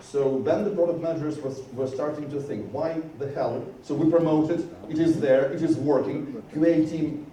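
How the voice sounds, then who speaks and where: A man's voice: on the high side, normal speed, warm tone, distant mic. A man giving a conference talk on stage, earnest, often restarting his phrases. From Georgia. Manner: earnest, frequent restarts, rises with emphasis. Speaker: conference speaker. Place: conference hall. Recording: roomy hall, speaker away from mic, faint noise.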